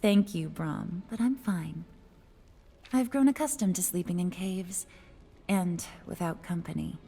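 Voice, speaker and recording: A woman playing a wistful, guarded fox spirit in a fantasy game, speaking softly, breathily and hesitantly. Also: lowish voice, unhurried, gravelly, slightly rough, faint music behind, heavily acted. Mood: warm, reserved. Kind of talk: cinematic fantasy game acting.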